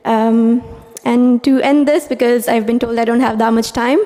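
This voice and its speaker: A woman's voice: on the high side, level tone, moderate pace, mellow. Young woman speaking earnestly in a hall. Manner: earnest, with frequent "um"s. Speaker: speaker at a mosque anniversary. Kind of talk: prepared speech. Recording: long hall reverb.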